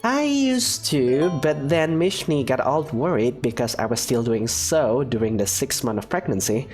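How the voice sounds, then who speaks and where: A woman's voice: extremely low pitch, lively intonation, unhurried, darkish tone, soft background music. A woman voicing a gracious female professor who speaks in an encouraging, lilting way. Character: warm, genteel female professor, expecting mother.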